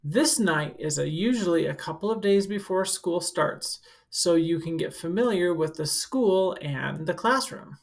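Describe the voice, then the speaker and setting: A woman's voice: fairly low pitch, lively intonation, measured pace, gravelly. A woman working as a school counselor, reading a picture book aloud to children and adding casual, instructive explanations in simple words. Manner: simple, instructive phrasing aimed at young children.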